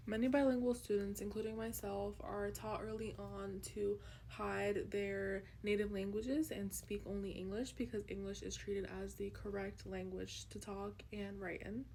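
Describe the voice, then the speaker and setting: A woman's voice: mid-range voice, level tone, normal speed, gravelly, few pauses, darkish tone, a little hiss or hum. A young woman whose first language is Urdu, earnestly presenting a class project with frequent ums. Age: young adult. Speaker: student presenter.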